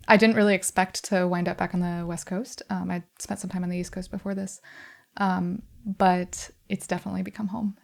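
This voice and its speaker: A woman's voice: mid-range voice, vocal fry. Chatty young woman with frequent fillers. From United States. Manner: chatty, laughing, lots of fillers. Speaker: band member, interview guest. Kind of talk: casual interview chat.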